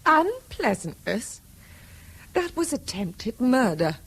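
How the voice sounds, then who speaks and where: A woman's voice: fairly high pitch, sing-song, takes it slowly, slightly rough, full character voice. An actress playing a dry, sceptical middle-class British woman in a radio drama. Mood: shaken, indignant. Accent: British English. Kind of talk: naturalistic radio drama acting. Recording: noisy radio drama with background ambience.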